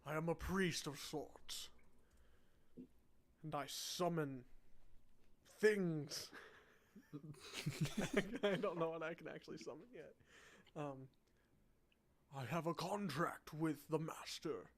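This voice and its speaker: A man voicing a middle-aged non-human butler who scorns love, speaking in a solemn, deadpan way. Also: fairly high pitch, slow, pauses often. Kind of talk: tabletop roleplay character voice.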